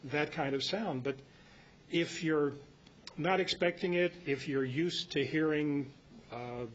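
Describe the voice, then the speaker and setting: An American man leading an informal club talk, rambling and anecdotal as he presents to the group. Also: takes it slowly. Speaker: meeting presenter. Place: club meeting room. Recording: dull lo-fi meeting recording with rumbly noise.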